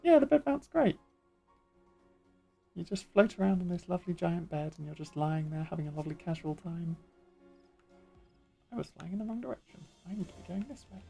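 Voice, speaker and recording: A woman's voice: fairly low pitch, lively intonation, brisk, vocal fry, pauses often, dark tone, slightly rough, soft background music. A woman streamer chatting playfully and casually while playing a game, laughing easily. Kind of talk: casual let's-play commentary.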